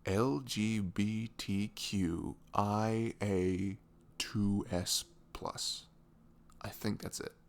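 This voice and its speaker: A man playing a sweet, caring young boyfriend in an intimate ASMR roleplay, chatting casually and rambling in a velvety low voice. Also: fairly even intonation, extremely slow, gravelly, full character voice. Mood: open, musing. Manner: chuckling, informal, loosely rambling.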